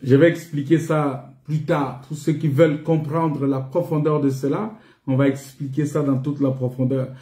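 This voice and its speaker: High-pitched male preacher in an echoey room. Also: fairly even intonation, moderate pace, warm tone. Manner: emphatic, repetitive, didactic with rhetorical questions. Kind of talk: sermon, teaching scripture.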